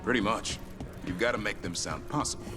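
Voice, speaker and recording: An actor plays a stoic male warrior in a fantasy game. His voice is low and gruff, with terse, understated delivery over clear music. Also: fairly quick, close to an everyday voice. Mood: dry. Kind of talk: video game voice acting.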